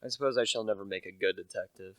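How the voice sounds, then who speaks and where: A man's voice: on the low side, quick pace, fairly bright tone, no echo, natural voice. A young man playing a humble, earnest salesman turned amateur sleuth in a radio play, plain-spoken and a little hesitant. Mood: uneasy. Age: young adult.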